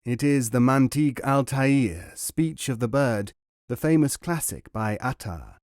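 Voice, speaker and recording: A man's voice: medium pitch, animated, measured pace, a little mellow, no room sound, natural voice. A courtly old Persian bookseller, gracious and formal, his voice lifted a little and dry, as a man reading the story puts him on. He talks with polite ceremony, in a close, dead sound.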